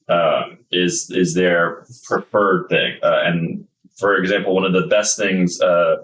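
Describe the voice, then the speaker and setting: A man's voice: low voice, a bit bright, far from the mic, rough recording. A man narrating a tabletop game as game master, explaining at length with many fillers.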